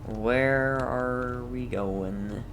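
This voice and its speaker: A woman's voice: rumbling low, very slow, noisy background. A woman giving casual live commentary as she plays a game as a streamer.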